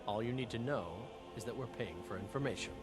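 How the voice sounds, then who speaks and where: An actor playing a curt, stern, guarded warrior hunting a fugitive in a fantasy video game. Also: male voice, mid-range voice, fast, over music, lightly acted.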